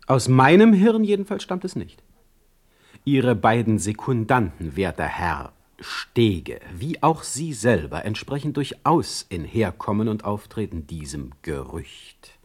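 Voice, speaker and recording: Radio play role: proud sardonic poet, lowish voice, very lively intonation, eloquent and formal. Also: male voice, unhurried, slightly rough, full character voice. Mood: sardonic, indignant. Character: Heinrich Heine, proud sarcastic male poet under challenge. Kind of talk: radio drama dialogue.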